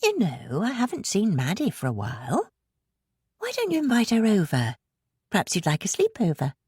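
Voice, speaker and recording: An anxious mother played by a woman narrator in an audiobook, coaxing and gently nagging. The voice is breathy and hushed, placating yet insistent, recorded close and dry. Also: mid-range voice, wildly swooping pitch, normal speed, no echo, voice pushed up, full character voice.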